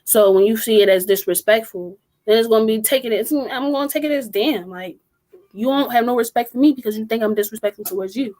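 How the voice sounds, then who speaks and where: A woman's voice: fairly high pitch, fast talker, a little mellow, low-quality recording. Blunt young woman speaking her mind. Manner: blunt, emphatic, strong-willed. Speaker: podcast co-host. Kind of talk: casual group discussion.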